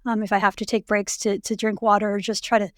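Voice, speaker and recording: A woman in her thirties hosting a casual podcast chat, her voice raspy and hoarse from late pregnancy, talking in a chatty way with many fillers. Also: mid-range voice, little pitch movement, fast talker, dry recording, studio quality. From Canada. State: heavily pregnant, voice going hoarse. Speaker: podcast host.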